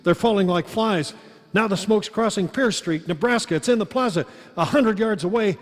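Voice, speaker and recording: A man playing a frightened radio reporter giving a halting eyewitness account in an old-time radio drama. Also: high voice, lightly acted. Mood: dread, urgency. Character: frightened male radio reporter watching a deadly attack. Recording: echoey hall, music behind.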